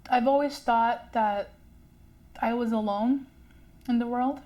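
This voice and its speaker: A young woman with a stutter speaks slowly and haltingly, repeating sounds and leaning on fillers. Her voice sits fairly high, and she takes her time with each phrase. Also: steady tone, dark tone. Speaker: community member sharing a story. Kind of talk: personal testimony.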